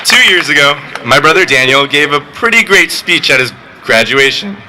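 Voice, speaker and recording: A teenage boy, valedictorian, giving a graduation speech with joking, deadpan asides. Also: a little high, moderate pace, noisy background. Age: teens. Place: outdoor graduation ceremony.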